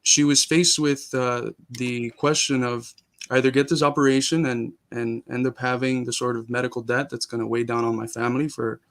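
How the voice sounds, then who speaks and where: A man's voice: mid-range voice, little pitch movement, moderate pace. A young man, born in Cuba and raised in Miami, talking earnestly as a guest in a casual interview, with frequent restarts. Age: young adult.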